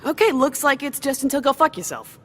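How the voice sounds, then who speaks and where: A woman's voice: high voice, animated, brisk, fairly bright tone, slight background noise. An actress playing a brash, salty female deputy security officer in an audio drama, with a blunt, teasing manner. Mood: teasing, brisk. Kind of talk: modern audio drama acting.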